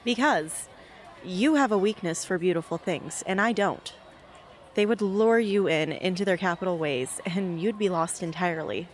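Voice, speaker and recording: A woman's voice: lowish voice, normal speed, a little hiss or hum, lightly acted. A young woman playing a blunt, guarded teenage girl in an amateur radio drama, with a terse, dry delivery. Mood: wry, fond. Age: young adult. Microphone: close studio microphone.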